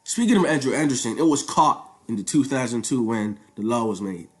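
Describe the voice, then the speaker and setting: A man's voice: medium pitch, expressive, fairly quick, soft background music. Young male, Nigerian accent, informal student presenter, conversational and slangy, leans on repetition for emphasis. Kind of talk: informal spoken project presentation.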